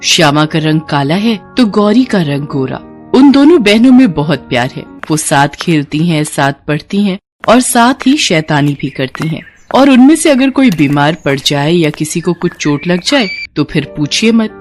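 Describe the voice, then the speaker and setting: A woman's voice: low-pitched, lively intonation, moderate pace, faint music behind. A woman narrating a scripted moral bedtime story.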